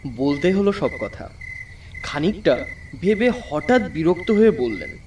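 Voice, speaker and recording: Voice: medium pitch, very animated, unhurried, dark tone, far from the mic, a little hiss or hum. A narrator telling a first-person story in a dramatic, scripted audiobook style. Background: background music.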